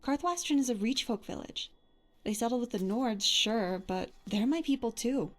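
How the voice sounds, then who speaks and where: A woman's voice: a little high, lively intonation, moderate pace, natural voice. A woman playing a tough, sardonic but caring adventuring companion in a fantasy video game. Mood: worried, earnest. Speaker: voiced follower in a game mod.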